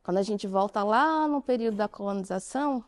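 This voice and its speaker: A woman with a Brazilian Portuguese accent giving an interactive conference talk, questioning the audience and speaking with emphatic pauses. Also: medium pitch, expressive.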